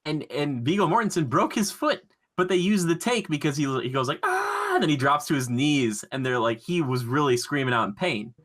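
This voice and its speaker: A man's voice: on the high side, sing-song, brisk, a bit bright. A man co-hosting a podcast, talking casually and conversationally with enthusiasm about films. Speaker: podcast co-host.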